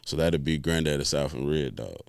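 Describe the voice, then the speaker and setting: A man's voice: very deep voice, brisk, creaky, no echo, clean studio sound. A man in his forties from Orlando, chatting casually as a DJ guest and thinking aloud with restarts. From Orlando, Florida. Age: 40s. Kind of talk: casual interview chat.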